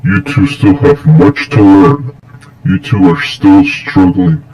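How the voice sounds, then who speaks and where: A man voicing a grave, menacing cyborg Sith mentor in an amateur fan film, lecturing slowly and solemnly with a gravelly voice. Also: low-pitched, animated, lo-fi sound. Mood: solemn counselling. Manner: slow, solemn, instructive. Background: music.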